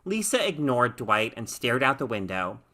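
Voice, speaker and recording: A woman reading a short story aloud in a measured, expressive narrating style. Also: very deep voice. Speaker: story reader.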